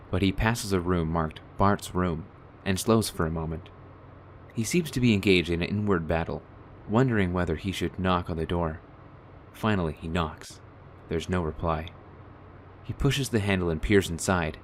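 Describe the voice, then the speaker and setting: A man's voice: sits low, normal speed, slight background noise. A man narrating a radio drama from a script, describing scenes in a storytelling style.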